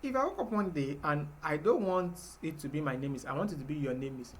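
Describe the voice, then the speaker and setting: A man's voice: fairly high pitch, animated, quick pace, slight background noise. A man teaching a live programming class, explaining patiently step by step with fillers. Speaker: programming instructor.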